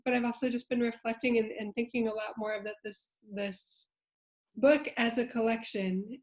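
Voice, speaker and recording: A woman on a translation prize committee, talking in a slow, reflective way with many pauses and fillers in a roundtable discussion. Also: on the high side, poor audio. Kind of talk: roundtable discussion, reading poetry aloud.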